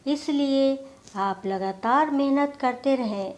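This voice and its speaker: A woman tells a scripted moral story as its narrator. Her voice sits a little high, and she takes the lines slowly, as a storyteller does. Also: darkish tone.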